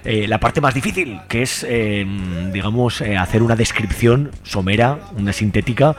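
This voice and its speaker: A man's voice: on the low side, moderate pace. A man with an accent from Spain presenting a radio show in an informal, conversational way with hesitant phrasing. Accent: Spanish (Spain). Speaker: radio host.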